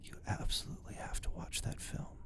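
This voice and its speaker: A man giving a hushed, scripted podcast sponsor read as announcer. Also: fairly quick, in a whisper.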